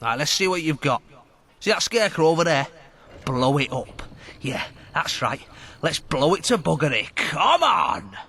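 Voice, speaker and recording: An actor playing an excitable, boyish young soldier who loves explosives in a video game, giddy and slangy. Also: male voice, a little high, moderate pace, exaggerated voice. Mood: eager. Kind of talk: video game character acting.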